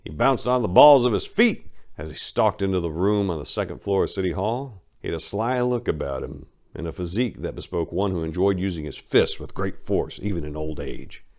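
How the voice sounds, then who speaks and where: A man's voice: low-pitched, expressive, brisk, few pauses, a little mellow. A man narrating a crime story as a dramatic reading.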